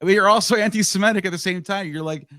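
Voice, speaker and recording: A man's voice: high voice, very fast, sharp tone, no echo, studio quality. A man in a casual podcast discussion, thinking aloud in bursts with frequent restarts. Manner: talks in bursts with many restarts. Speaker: podcast co-host or guest.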